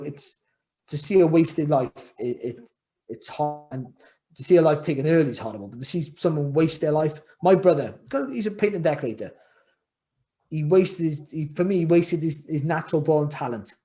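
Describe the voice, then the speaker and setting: A middle-aged man talks over a video call from his home. His voice sits a little high for a man. He speaks earnestly, doubles back on his words and leans hard on key lines. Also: normal speed, mellow. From Wales. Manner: earnest, repeats phrases and restarts, rising to emphatic. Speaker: podcast guest, former boxer. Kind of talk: casual interview chat. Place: home room, indoors.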